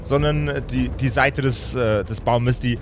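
Man game-mastering over clear background noise. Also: medium pitch, fairly even intonation, a little slow. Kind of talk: improvised tabletop game narration.

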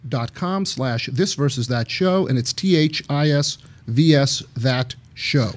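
A man's voice: medium pitch, unhurried. A man co-hosting a radio show, cranky and sardonic, who delivers his jokes in a mock-serious tone. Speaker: co-host, TV producer.

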